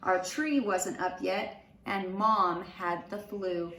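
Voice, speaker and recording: Woman reading a kids picture book, slow and animated, echoey room w faint hiss. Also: medium pitch. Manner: slow, animated storytelling with acted voices. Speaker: storybook reader. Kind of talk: expressive children's read-aloud. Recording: roomy, distant mic with faint noise.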